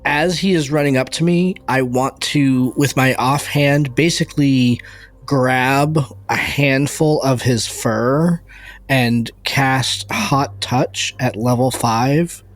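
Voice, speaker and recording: A man's voice: mid-range voice, takes it slowly, no echo. A man talking casually as a player in a tabletop game, working through his moves and rules.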